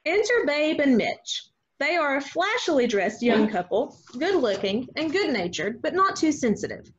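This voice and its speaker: A woman narrates stage directions from a script at a play reading. Her voice is fairly high with animated pitch. The recording sounds slightly dull and lo-fi. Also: moderate pace. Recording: dull lo-fi online call sound.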